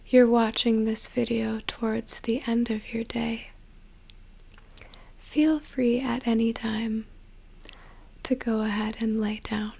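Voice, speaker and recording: A young woman whispering a slow, soothing guided meditation as an ASMR creator, with a soft breathy voice. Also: fairly high pitch.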